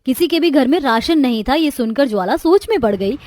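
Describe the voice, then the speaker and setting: A woman's voice: high-pitched, lively intonation, a little fast, a little mellow. A woman delivering scripted, dramatic narration for a cartoon story.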